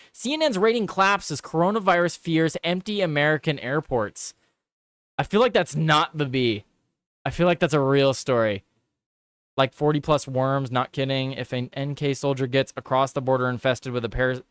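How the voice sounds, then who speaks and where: A man's voice: medium pitch, a bit bright, clear, resonant tone, no room sound. A man hosting a live political show, reading headlines aloud and chatting casually with his viewers, swearing and repeating himself as he reacts.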